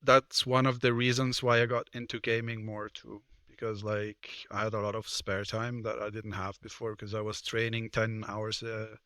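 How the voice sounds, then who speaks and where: A man's voice: on the low side, monotone, normal speed, few pauses, bright, forceful tone, clean tone, no room sound. A man in his thirties from Switzerland, a guest on a podcast talking casually and hesitantly, with many fillers and restarts. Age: 30s. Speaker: guest, personal trainer and card game player. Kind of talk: casual interview talk.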